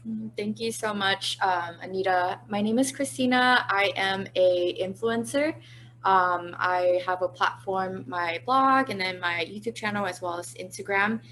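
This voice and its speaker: A woman's voice: mid-range voice, unhurried, a bit bright, a little hiss or hum, poor audio. A woman appearing as a guest panelist on a conversational webinar from home, polite and articulate with occasional fillers. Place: at home, indoors.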